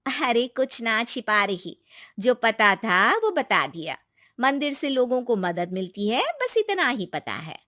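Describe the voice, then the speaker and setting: A woman's voice: on the high side, sing-song, takes it slowly, sharp tone, dry recording, studio quality, full character voice. A woman voicing a kindly, secretive young village woman in a cartoon, speaking in an emphatic, reassuring, colloquial way. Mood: evasive. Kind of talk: Hindi moral-story cartoon dialogue.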